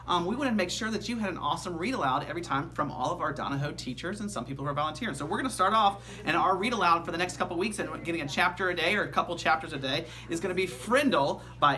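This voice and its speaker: A man reading a children's story aloud like a teacher, with animated, emphatic storytelling. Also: sits high.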